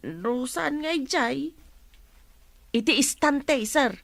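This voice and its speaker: A woman's voice: high-pitched, lively intonation, slow, gravelly, no echo, exaggerated voice. A woman playing a timid young housemaid in a radio drama, deferential and nervous. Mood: flustered.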